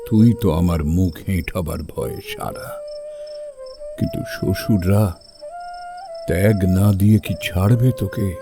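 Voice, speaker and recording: A man acting a weary, honour-bound middle-aged father in a sad audio drama, grave and gently admonishing. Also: lowish voice, huge pitch swings, a little slow, pauses often, music in the background, full character voice. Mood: worried.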